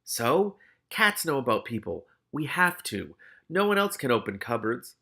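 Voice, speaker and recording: A man voicing a wily, grumbling streetwise cat in a storybook reading, quick to hedge and bargain. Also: mid-range voice, big pitch swings, creaky, pushed and bright, pitched above the performer's own voice, heavily acted. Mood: sardonic, grumbling. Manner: quick-fire, hedging, sardonic. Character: wily talking male cat, streetwise, grumbling, anxiously deferential.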